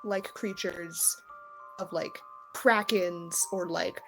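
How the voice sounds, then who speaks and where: A woman game master narrating a tabletop game in halting, deliberate phrases. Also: lowish voice, fairly even intonation, vocal fry, a bit bright, soft background music, rough recording.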